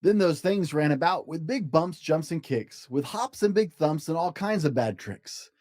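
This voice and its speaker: Male storybook reader, highly animated pitch, emphatic rhymes, dry sound. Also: normal speed, no room sound. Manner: expressive, emphatic rhyming delivery. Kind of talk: animated children's story read-aloud.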